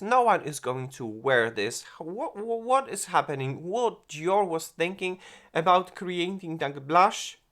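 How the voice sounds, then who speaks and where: A man in his forties hosts a makeup review, gushing over each product. He talks at an unhurried, slow pace in a slightly raised voice, with halting phrases and frequent restarts. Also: on the high side, fairly bright tone. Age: 40s. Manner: gushing, exclaims and laughs often; halting non-native phrasing with many restarts. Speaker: beauty vlogger.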